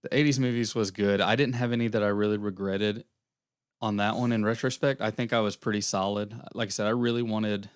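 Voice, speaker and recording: Young guy hosting a podcast, low voice, kinda hoarse and airy, dry room sound. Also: fairly even intonation, a little fast, dry recording. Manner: rambling recap with fillers. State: losing his voice, airy. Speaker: podcast co-host. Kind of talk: casual podcast chat.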